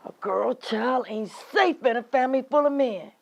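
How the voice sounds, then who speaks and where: Woman acting a high, creaky, long-suffering Southerner. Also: normal speed, dull audio. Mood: weary, grave. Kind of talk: dramatic stage monologue.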